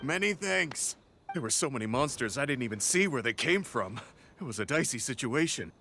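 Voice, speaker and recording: A man's voice: medium pitch, animated, moderate pace, bright, forceful tone, slight background noise. An actor playing a grateful, shaken male transporter of goods in a video game, polite and earnest. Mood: relieved, thankful. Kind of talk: video game character dialogue.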